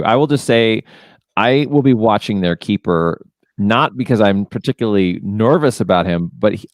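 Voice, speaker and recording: Deep-voiced man moderating a panel. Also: darkish tone, no echo. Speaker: podcast host.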